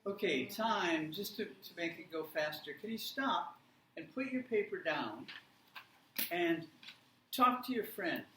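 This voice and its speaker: A man gives an interactive talk as a teacher trainer, speaking very slowly and with emphasis. He pauses often and checks in with short questions. The recording is roomy, with faint noise behind him. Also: sits high, animated, bright, forceful tone, recorded from a distance. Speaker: teacher trainer presenting a workshop.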